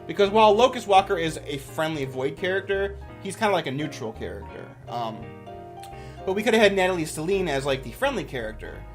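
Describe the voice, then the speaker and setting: A man's voice: a little high, big pitch swings, brisk, soft background music. A man giving casual, off-the-cuff commentary as a YouTuber, with an enthusiastic, rambling manner.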